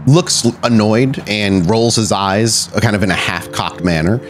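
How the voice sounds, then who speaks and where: A man hosting and narrating a tabletop roleplaying game, often halting and correcting himself as he goes. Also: fairly low pitch, slight background noise. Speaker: podcast host and game storyteller.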